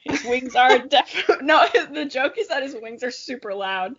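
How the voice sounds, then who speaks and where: Higher-voiced giggly woman, slightly dull recording. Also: animated, a little slow, a bit bright, slightly rough. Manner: giggly, self-mocking. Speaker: podcast co-host reading aloud. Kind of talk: casual chat and amateur dramatic reading.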